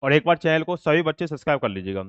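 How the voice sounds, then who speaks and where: A man's voice: fairly high pitch, animated, rapid-fire, a bit bright, clear, resonant tone, no room sound, lo-fi sound. A man explaining exam updates to students as a presenter, in an informal, repetitive way, reading out notices and figures. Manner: repetitive, filler-heavy explaining that addresses students directly. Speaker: exam-update presenter for an online coaching channel.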